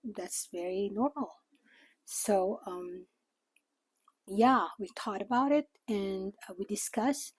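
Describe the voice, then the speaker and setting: Slow-talking older Filipino woman. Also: mid-range voice, breathy edge, no echo, rough recording. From Philippines. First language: Tagalog. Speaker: vlogger. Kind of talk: informal talk to camera.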